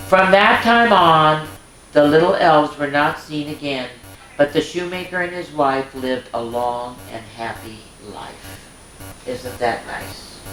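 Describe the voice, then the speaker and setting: A woman's voice: a bass voice, fairly bright tone. A woman hosting a children's storytime, reading a picture book aloud and adding homely, chatty asides for the young listeners. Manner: homely, chatty, exclaims and points things out to children. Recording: echoey room with background music.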